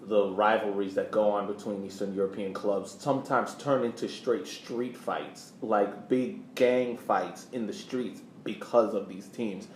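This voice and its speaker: A man's voice: lowish voice, a little mellow. A man co-hosting a podcast, talking casually about sports. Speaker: podcast host. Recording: roomy echo, background noise.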